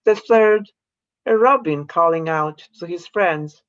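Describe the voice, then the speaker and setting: A woman from Poland with a Polish accent, reading her poems slowly and introducing them in a measured way on a video call from her home. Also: lowish voice, dull audio. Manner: slow, measured reading of verse. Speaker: poet. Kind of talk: poetry reading with spoken introductions. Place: room at home.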